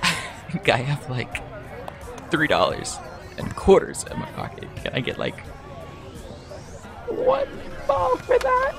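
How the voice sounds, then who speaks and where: Erratic, excitable oddball played by an actor. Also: mid-range voice, takes it slowly, gravelly, pauses often, music in the background, crowd noise, heavily acted. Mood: awkward, sheepish. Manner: erratic, stammering, swinging into outbursts. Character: Timmy, excitable foul-mouthed oddball young man, nervous yet cocky. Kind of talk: comedic audio drama acting.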